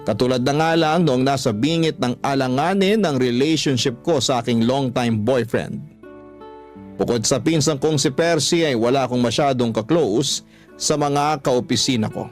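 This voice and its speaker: A man's voice: medium pitch, darkish tone. A man narrating a scripted, first-person story as the storyteller of a radio drama. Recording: music under the voice.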